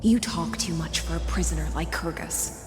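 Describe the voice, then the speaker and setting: A woman playing a weary, stern warrior demigod in a video game, speaking in a measured, solemn manner. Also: lowish voice, close to an everyday voice. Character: weary, stern female warrior demigod guarding her captive. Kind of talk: dramatic video game voice acting. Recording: echoing hall reverb, music underneath. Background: music.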